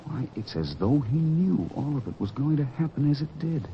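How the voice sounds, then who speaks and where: A man playing an earnest disciple of Jesus in an old radio drama, speaking solemnly and deliberately with formal diction. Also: mid-range voice, very animated. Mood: wondering. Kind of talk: 1940s radio drama acting. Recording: dull old radio recording, noisy.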